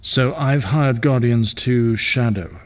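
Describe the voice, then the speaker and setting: A man playing a gentle middle-aged ruler and father in an audio drama, measured, tender and soft-spoken. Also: mid-range voice, natural voice. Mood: grave, hopeful. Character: gentle fatherly ruler, weary, reassuring widower.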